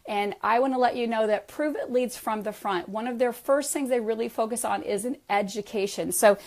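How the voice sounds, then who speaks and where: Hoarse woman, raspy, middle-aged, fairly high voice, enthusiastic live host, headset mic. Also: fairly quick, darkish tone. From United States. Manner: upbeat, enthusiastic, reads questions aloud. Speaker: wellness practitioner hosting a live Q&A. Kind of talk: live social media talk.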